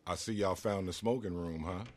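A man's voice: low voice, moderate pace, close to an everyday voice. A man playing a grumpy, scornful American recording studio engineer in a video game cutscene, slangy and cutting. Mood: annoyed.

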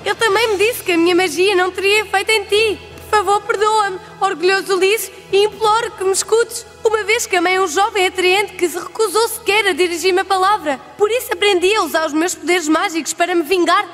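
A woman's voice: extremely high pitch, a little fast, a bit bright, faint music behind. A woman voicing a proud, seductive sorceress in a European Portuguese cartoon dub, with formal, theatrical delivery. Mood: startled. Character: proud seductive sorceress, vengeful, then pleading. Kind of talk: dubbed cartoon acting. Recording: long hall reverb.

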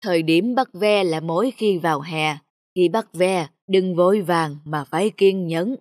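A woman's voice: lowish voice, measured pace, a little mellow, dry recording, studio quality, natural voice. A woman voicing a humble, patient old countryman in a cartoon, explaining things without hurry. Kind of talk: scripted cartoon dubbing. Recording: music under the voice.

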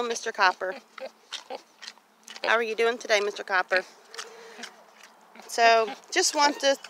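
Folksy middle-aged woman, bright voice, noisy outdoors. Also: medium pitch. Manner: plain-spoken, folksy, repeats phrases for emphasis. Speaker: homestead vlogger. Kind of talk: casual walk-and-talk monologue. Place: outdoors on a grassy homestead field. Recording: noisy outdoor recording with geese honking.

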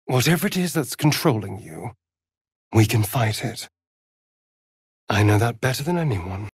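Sardonic vain British vampire, video game role, slow arch drawl, very animated pitch. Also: male voice, husky, no room sound, studio quality, full character voice. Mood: earnest, intense. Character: sardonic, vain male vampire rogue, theatrical and catty. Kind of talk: video game character voice lines.

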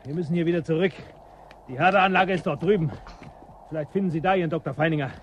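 Actor as high-pitched, sardonic local guide. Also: male voice, quick pace, slight background noise, close to an everyday voice. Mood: gruff, matter-of-fact. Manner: curt, mocking. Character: gruff, sardonic local guide at the ice frontier. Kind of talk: German radio play acting.

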